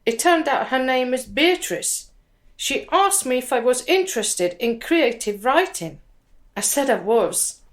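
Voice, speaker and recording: Earnest, slow-spoken budding woman poet. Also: high voice, animated. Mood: animated, explanatory. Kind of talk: radio drama acting, with recited poetry.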